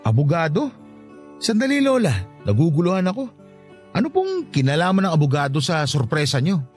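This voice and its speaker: A man's voice: on the high side, huge pitch swings, brisk, soft background music. A man acting as an earnest, devoted grandson in a radio drama, questioning and emotional. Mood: puzzled.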